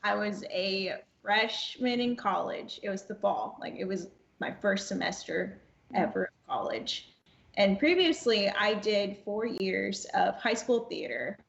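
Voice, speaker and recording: A woman's voice: medium pitch, animated, unhurried, gravelly, roomy sound, muffled recording, a little hiss or hum. A woman in her twenties telling a casual anecdote as a podcast guest, acting out quoted dialogue and repeating phrases as she goes. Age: 20s. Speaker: podcast guest, amateur voice actress.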